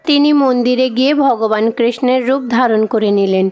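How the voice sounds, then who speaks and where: A woman's voice: a little high, a little fast, darkish tone. A woman reading scripted narration for a children's story as its narrator.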